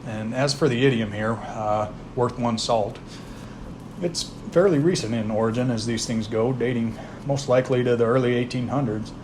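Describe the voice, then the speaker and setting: A man giving a casual spoken lesson on word origins, relaxed and thoughtful, often pausing with "uh" and restarting his sentences. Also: medium pitch. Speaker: host explaining word origins. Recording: noticeable background noise.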